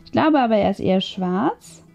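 Mellow-voiced woman chatting through crafts. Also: mid-range voice, expressive, normal speed, creaky, dull audio. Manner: chatty, thinking aloud while working. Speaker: craft video host. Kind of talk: casual hands-on craft tutorial commentary. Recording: music behind the voice. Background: background music.

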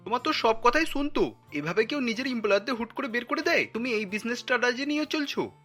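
A man's voice: extremely high pitch, animated, quick pace, bright, forceful tone, faint music behind, exaggerated voice. A man voicing an earnest, principled grown-up son in a cartoon, speaking reproachfully. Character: principled adult son, earnest, protests his mother's snobbery.

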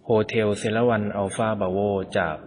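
A man making an amateur radio call in speech, deliberately repeating call signs and details in short polite exchanges. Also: long reverb tail.

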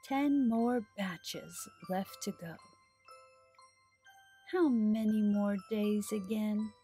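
A woman's voice: medium pitch, very slow, mellow, faint music behind. A middle-aged woman hosting storytime for small children, with an exaggerated, sing-song delivery as she talks and reads aloud. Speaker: children's library storytime host. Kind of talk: children's storytime, talking to young viewers and reading aloud. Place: small decorated indoor storytime set.

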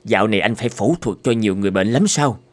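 A man voicing troubled, thoughtful doctors and professors in a cartoon drama, formal and measured. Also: fairly low pitch, natural voice. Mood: earnest, concerned. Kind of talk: animated cartoon drama dubbing.

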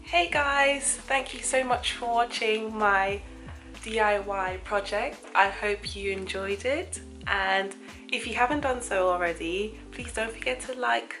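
A young woman with a hoarse, flu-worn voice, chatting upbeat to camera in her dining room. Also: on the high side, a little slow, over music. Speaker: DIY vlogger. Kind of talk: on-camera outro chat. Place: home dining room indoors. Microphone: camera at close range.

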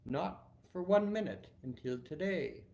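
A guarded young Englishwoman who answers in short, clipped replies, as a man reads her from his book. The voice underneath stays dark and gravelly. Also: fairly high pitch, lively intonation, unhurried, pitched above the performer's own voice. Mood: curt.

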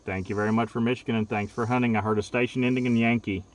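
A man in his forties working a ham radio from a park, reading call signs and signal reports into a handheld mic in quick, repetitive bursts. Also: medium pitch, fairly even intonation, far from the mic, slight background noise. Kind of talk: rapid radio contact exchange. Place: outdoors in a park. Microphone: handheld radio microphone.

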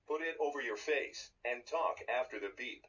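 An actor voicing a dark armored sci-fi villain giving recorded instructions through a small toy speaker. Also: male voice, medium pitch, normal speed. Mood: commanding. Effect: played through small toy speaker. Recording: husky toy playback, distant from mic.

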